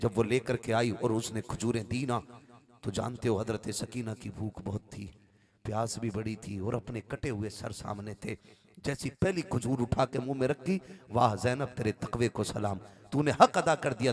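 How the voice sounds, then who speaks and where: A man with a gravelly, strained voice, preaching an impassioned sermon as a dramatic, emotional storyteller who acts out dialogue. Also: medium pitch, moderate pace. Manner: dramatic, emotional delivery with acted-out dialogue and rhetorical repetition.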